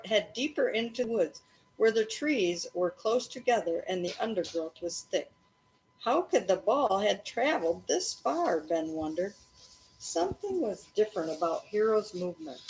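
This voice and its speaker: A woman reading a children's story aloud expressively, in an animated way meant to engage a young listener. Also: mid-range voice, a little hiss or hum, rough recording.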